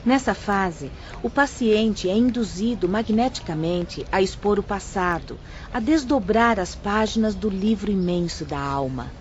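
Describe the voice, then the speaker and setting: A gentle female spirit guides newcomers through her department with calm, kindly explanations, as a woman plays her. She speaks in an audio drama at a middling pitch. The recording sounds a little dull, with a faint hiss underneath. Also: a little slow, hardly any pauses, close to an everyday voice. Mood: patient. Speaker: instructor guiding new apprentices.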